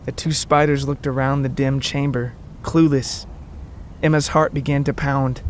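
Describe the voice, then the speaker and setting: A man's voice: mid-range voice, level tone, a little slow, a little hiss or hum. A man reading scripted fiction as the narrator of an audio story.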